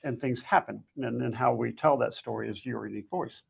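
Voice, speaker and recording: The husky, heavily creaking man you would hear as guest author at a writers' workshop, laying out advice plainly. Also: mid-range voice, a little fast, a little mellow. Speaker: guest fiction author giving writing advice. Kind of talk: conversational advice talk.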